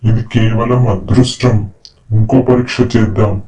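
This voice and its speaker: A man's voice: lowish voice, steady tone, unhurried, dark tone, lightly acted. A man voicing an arrogant, cruel, greedy tiger in a storybook tale, boastful and commanding. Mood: challenging. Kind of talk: storybook character dialogue. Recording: roomy echo, music behind voice.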